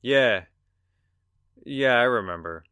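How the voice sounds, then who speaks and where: An actor playing an arrogant, rich attorney in an audio drama, brash and scornful in manner. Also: male voice, medium pitch, animated, slow pace, pushed and bright, smooth, no room sound, clean studio sound. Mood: blustering, smug. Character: arrogant, rich, sneering attorney, blustering rival.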